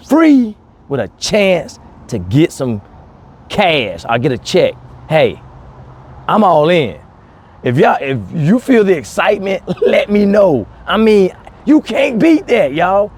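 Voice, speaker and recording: A man talking casually and excitedly to viewers as a gardening host, emphatic and repeating key words, recorded outdoors. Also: fairly high pitch, slight background noise. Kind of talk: casual, enthusiastic talk to camera.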